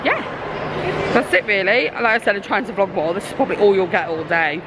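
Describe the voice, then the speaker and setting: A young woman vlogging chattily from a camera held at arm's length, excitable and laughing often, a little hoarse as she loses her voice. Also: medium pitch, brisk. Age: young adult. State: losing her voice. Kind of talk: casual vlog chat. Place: large busy convention hall, indoors. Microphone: handheld camera at arm's length. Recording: noisy convention crowd. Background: loud crowd chatter.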